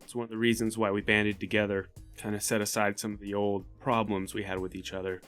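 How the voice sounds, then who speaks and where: A man's voice: lowish voice, little pitch movement, quick pace, a bit bright, soft background music, close to an everyday voice. Man playing an earnest, practical desert tribesman.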